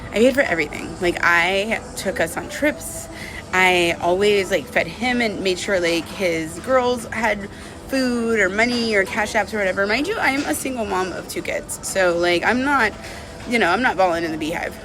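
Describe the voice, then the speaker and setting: A woman's voice: mid-range voice, moderate pace, noisy background. A woman talking casually and confessionally to camera as a vlogger, candid and rambling, with lots of "like" and "you know". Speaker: vlogger telling a personal breakup story.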